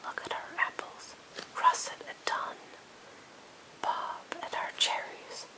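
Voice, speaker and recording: A woman reads narrative verse entirely in a whisper, with hardly any voice behind the breath. It is an ASMR-style poetry reading, breathy throughout. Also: slow, rough recording. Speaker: reader of a narrative poem.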